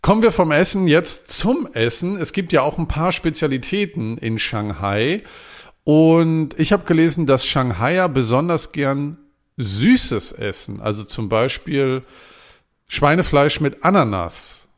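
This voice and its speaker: A man's voice: on the high side, animated, measured pace. A man co-hosting a casual podcast, playful and laughing at his own attempts at dialect words. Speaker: podcast co-host.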